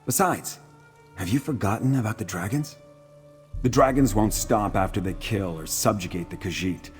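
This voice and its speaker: Man acting a measured, self-assured military commander. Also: lowish voice, soft background music, close to an everyday voice. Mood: earnest, firm. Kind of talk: video game dialogue acting.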